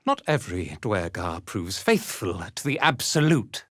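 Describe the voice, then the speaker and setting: A man's voice: on the low side, big pitch swings, measured pace, a bit bright, dry recording, studio quality. An actor playing a haughty, cruel male zealot commander in a fantasy game, with an imperious, contemptuous manner. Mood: contemptuous, menacing. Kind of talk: dramatic video game character lines.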